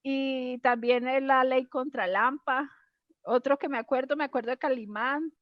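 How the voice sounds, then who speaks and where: A woman's voice: high voice, steady tone, unhurried, no room sound, highs cut off. A middle-aged woman with a Colombian accent, reminiscing casually in conversation. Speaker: interviewee sharing childhood memories.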